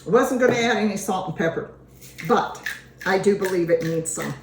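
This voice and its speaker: A woman hosting a home cooking show, a little hoarse, chatting in a homey way as she works through a recipe. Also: medium pitch, normal speed, a little hiss or hum. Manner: chatty, homey, talks while working. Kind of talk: casual cooking demonstration. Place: home kitchen. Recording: echoey kitchen with clattering dishes. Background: dishes and utensils clinking.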